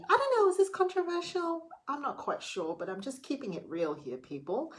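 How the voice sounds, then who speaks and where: A woman's voice: medium pitch, low-quality recording. A middle-aged woman talking to her viewers in a casual, theatrical and emphatic way. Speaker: vlogger. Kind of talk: casual monologue to camera.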